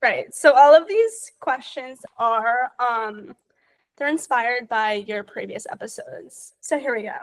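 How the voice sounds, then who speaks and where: A young woman hosting a podcast, asking quick rapid-fire questions in an upbeat, chatty way. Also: fairly high pitch, expressive, low-quality recording. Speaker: podcast host. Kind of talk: casual interview.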